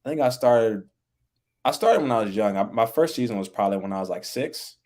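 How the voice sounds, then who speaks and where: A young man talking casually as a podcast guest, relaxed and full of fillers, raised in the American Midwest. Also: lowish voice, brisk. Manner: relaxed, laid-back, many fillers like "like" and "you know". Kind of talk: casual interview chat.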